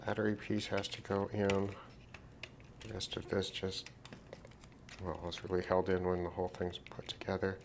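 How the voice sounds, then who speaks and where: A man casually narrating a hands-on repair demonstration, thinking aloud in an unhurried way as he works. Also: low voice, level tone, noisy background. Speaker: repair video host.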